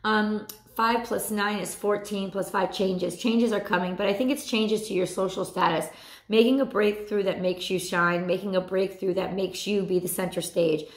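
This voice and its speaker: A woman in her thirties with a hoarse voice, giving a chatty weekly tarot reading to a webcam at home. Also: medium pitch, normal speed, roomy sound. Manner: animated, repeats phrases, chatty asides. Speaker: tarot reader. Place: small room at home.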